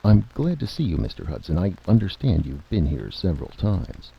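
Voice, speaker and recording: A man plays a curt, skeptical statesman in a vintage radio drama. His manner is official and dismissive, his low voice gravelly. The sound is dull. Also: huge pitch swings, moderate pace, exaggerated voice. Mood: polite doubt. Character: curt, skeptical senior male Secretary of State.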